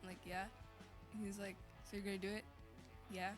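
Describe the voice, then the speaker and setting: A teenage girl talks quickly and playfully, teasing her bandmates. Clear music plays behind her voice. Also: mid-range voice, little pitch movement. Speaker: band bassist. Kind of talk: casual interview chat.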